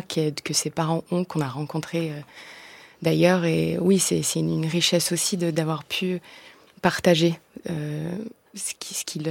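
A woman's voice: deep voice, creaky, no echo. A woman giving a casual, personal answer as an interview guest, hesitant and often repeating her words as she searches. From Greek and New Zealand family, in France from age six or seven. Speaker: guest, stage actor.

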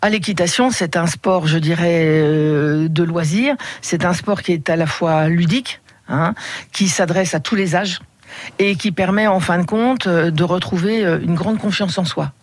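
A middle-aged woman from the Paris region answering radio interview questions in a measured way, with frequent hesitations. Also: fairly low pitch, no room sound. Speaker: head of a regional riding committee, radio guest.

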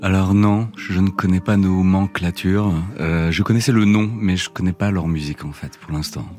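A man with a very deep voice answers the host's question as a studio guest, hesitant and relaxed. Faint music plays behind him. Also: normal speed. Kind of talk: casual chat. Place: radio studio. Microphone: studio microphone.

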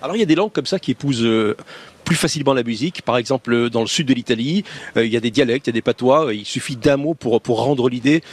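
A man's voice: mid-range voice, fast talker, husky. A male radio host interviewing musicians, asking admiring, enthusiastic questions.

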